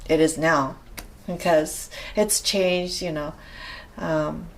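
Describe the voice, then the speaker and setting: A woman's voice: low voice, steady tone, slow, slight background noise. A middle-aged woman from Japan with a Japanese accent, speaking hesitantly with many fillers as a guest in a casual interview. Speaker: interview guest, rope bondage practitioner.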